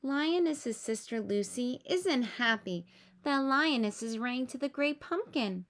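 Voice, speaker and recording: A woman narrates a storybook for young children. Her voice sits high and swings widely in pitch. She reads expressively and takes her time over each sentence. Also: a little slow. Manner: expressive, with rhetorical questions to young listeners. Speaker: storybook reader. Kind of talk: children's read-aloud narration.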